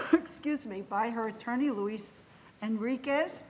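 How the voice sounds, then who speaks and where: Slow-speaking woman moderating a hearing. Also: a little high, in a large hall. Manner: slow, deliberate, interrupted by coughs. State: tickle in her throat. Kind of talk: formal introductions.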